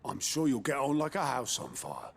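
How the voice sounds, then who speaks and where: A man's voice: medium pitch, big pitch swings, normal speed, gravelly, husky, heavily acted. A man playing a roguish, worldly rebel quartermaster in a video game, with a regional English accent and a wry, teasing, colloquial manner. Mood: sly, teasing.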